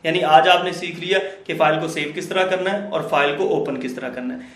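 A man giving a screen-recorded software lesson, explaining each step slowly and often checking in with his viewers. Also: a little high. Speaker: computer tutor. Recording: echoey room.

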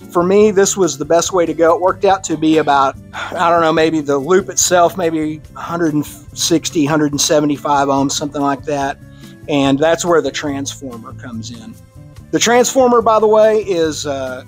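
A man with a Texan accent presenting to a radio club, explaining in a relaxed, conversational way with casual asides. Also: fairly high pitch. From Texas. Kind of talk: technical slide presentation. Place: club meeting room.

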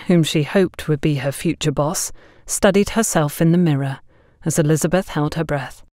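A woman's voice: lowish voice, darkish tone, no echo, clean studio sound. A woman reading a scripted story as an audiobook narrator.

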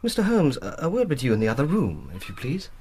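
Casting: stiffly polite, irate husband, rapid, wildly swinging delivery, radio play, faint noise. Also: male voice, medium pitch, huge pitch swings, mellow. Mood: curt, indignant. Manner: peremptory, formally polite, agitated. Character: curt, indignant husband of a sick wife. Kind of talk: British radio drama acting.

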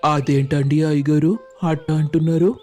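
A man plays a poor village blacksmith in an animated moral tale. He is earnest, deferential and rustic in speech. The voice sits a little high and soft-edged, with music underneath. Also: normal speed, close to an everyday voice. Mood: pleading, distressed. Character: poor, honest, humble village blacksmith, pleading and tender husband. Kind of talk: animated moral story voice acting.

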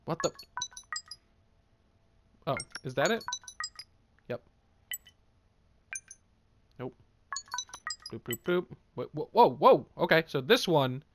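Goofy, animated man playing a game. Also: a little high, slow, long pauses, music in the background. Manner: playful, goofy, talks and sings to himself. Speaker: video game streamer. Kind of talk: casual let's play commentary.

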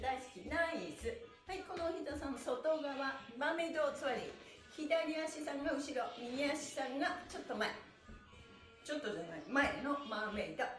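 A woman's voice: high voice, reverberant. A middle-aged woman instructor leading a live stretching class, cheerful and encouraging, chanting rhythmically as she moves. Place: small bare indoor room, seated on a mat. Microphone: camera or phone a couple of metres away.

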